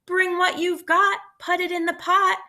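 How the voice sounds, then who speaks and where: A woman's voice: extremely high pitch, little pitch movement, a little slow, sharp tone, pitched above the performer's own voice, full character voice. A woman voicing a clever, cheerful male traveler who grandly proclaims his magic soup. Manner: grand, theatrical announcing.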